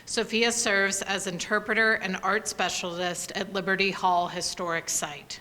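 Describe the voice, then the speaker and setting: A woman host giving a formal, measured scripted introduction of a lecture speaker. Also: mid-range voice, steady tone, pushed and bright.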